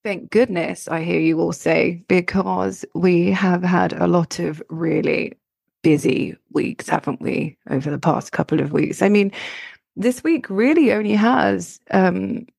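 A woman astrologer hosting her own podcast, her voice croaky from illness, chatting and rambling with frequent restarts and fillers. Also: lowish voice, measured pace, darkish tone, no echo, studio quality. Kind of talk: solo podcast talk.

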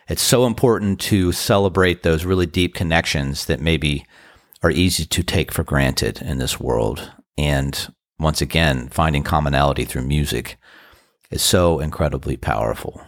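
A man in his fifties talks in a very low, dry voice, close and free of room sound. He rambles warmly through a reflective podcast monologue, his voice still tired and deep after a show. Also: a little slow, no room sound. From Washington DC area. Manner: earnest, rambling, grateful storytelling. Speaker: podcast host and band musician.

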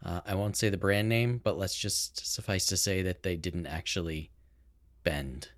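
A man's voice: sits low, steady tone, normal speed. A man co-hosting a casual home-recorded podcast, rambling and correcting himself as he explains things. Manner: rambling, self-correcting, chatty. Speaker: podcast producer and co-host. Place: home recording setup in a house. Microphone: boom-arm microphone.

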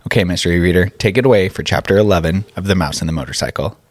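A man presents a podcast in an upbeat, scripted style. His voice is deep and he moves along quickly, recorded close and dry. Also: no echo. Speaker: podcast host.

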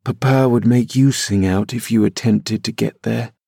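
A man's voice: on the low side, fairly even intonation, moderate pace, vocal fry, warm tone, slightly rough, dry recording, clean studio sound. A man voicing a sickly, spoiled young man who sulks and whines. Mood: petulant. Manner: whiny, sulky.

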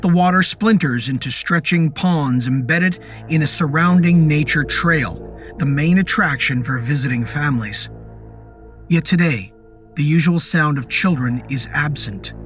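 A man narrating a scripted horror story in a measured, descriptive storytelling manner. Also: a little high, a bit bright. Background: music under the voice.

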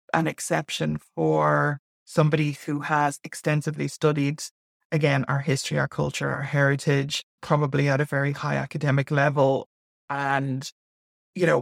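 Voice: medium pitch, vocal fry, dry recording, clean studio sound. An Irish speaker in their forties giving blunt, emphatic opinions to camera, full of asides and tag questions. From Ireland. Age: 40s. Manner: digressive with many asides and "right?" tags. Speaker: online school presenter.

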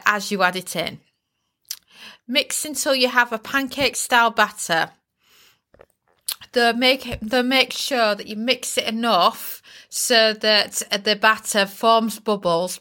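Bright-voiced Yorkshire woman hosting a recipe podcast. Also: medium pitch. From East Yorkshire, England. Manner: chatty and upbeat, laughs at herself, talks straight to the listener. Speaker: podcast host.

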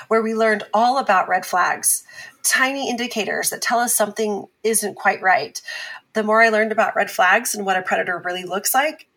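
Earnest woman advocate, measured and slightly husky. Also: medium pitch, bright, forceful tone, rough recording. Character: earnest woman abuse survivor, victims' advocate on talk show. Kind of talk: fiction podcast acting as interview guest.

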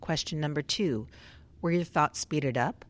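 An actress plays a composed woman doctor running a study in a radio drama. Her voice is low for a woman, and her manner is formal, polite and methodical, as if working through procedure. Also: unhurried, slight background noise. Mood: procedural.

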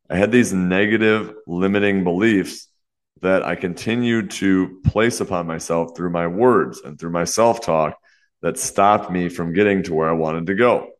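Host, young man, very low voice, congested and a bit nasal; earnest, brisk solo podcast delivery. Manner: earnest, fast talk with many "you know" fillers. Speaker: podcast host and fitness coach.

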